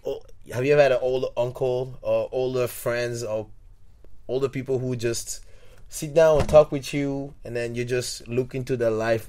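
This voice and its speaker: A man's voice: medium pitch, warm tone. A young man from Togo, a podcast guest, talking in a rambling, reflective way with many restarts and repeated words. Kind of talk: casual interview.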